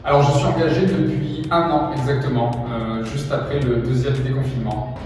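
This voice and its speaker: A man's voice: medium pitch, normal speed, a little mellow. A man in his thirties from Réunion, talking hesitantly about his volunteering. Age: 30s. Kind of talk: testimonial interview. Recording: echoey hall from far off, music behind.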